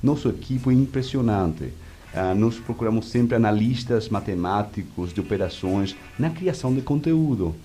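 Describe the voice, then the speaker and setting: A man with a Venezuelan accent and native Spanish, answering interview questions conversationally in halting speech, searching for words. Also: medium pitch, mellow, slight background noise. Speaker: interview guest, media executive.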